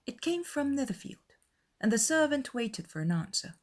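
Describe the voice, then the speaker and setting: A woman's voice: high voice, big pitch swings, moderate pace, a little mellow. A woman reading scripted literary narration as the narrator of a dramatized audiobook.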